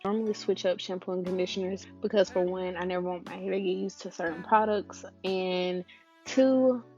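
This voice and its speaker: A young woman with a slightly raspy voice, chatting casually and in a relaxed way through a hair-care tutorial as a beauty vlogger. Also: lowish voice, a little fast, muffled recording, soft background music.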